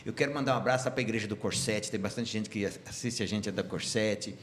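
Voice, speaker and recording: A man's voice: lowish voice, quick pace, a little hiss or hum. A man from Brazil leading a Sabbath school lesson on a church channel, chatty and joking with his co-hosts. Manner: chatty and warm, sending greetings and joking. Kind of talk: casual Bible study chat.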